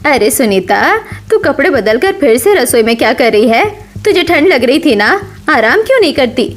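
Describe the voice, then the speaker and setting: A woman's voice: high voice, big pitch swings, normal speed. A woman voicing an elderly, worried mother-in-law who scolds with care in a family cartoon. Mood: scolding.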